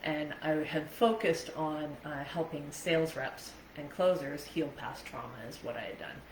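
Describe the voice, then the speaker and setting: A woman's voice: low-pitched, moderate pace. A middle-aged Canadian woman chatting casually and digressively on a livestream, with a self-amused, rambling manner. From Ontario, Canada. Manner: chatty, laughs readily at herself, digressive. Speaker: host, trauma coach livestreamer. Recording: echoey room with faint background noise.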